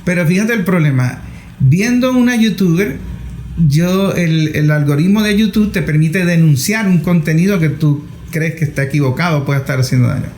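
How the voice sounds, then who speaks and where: Man, middle-aged, fairly high voice, conversational interviewer, roomy echo. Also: normal speed, darkish tone. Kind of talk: conversational interview with personal anecdotes. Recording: echoey room with faint noise.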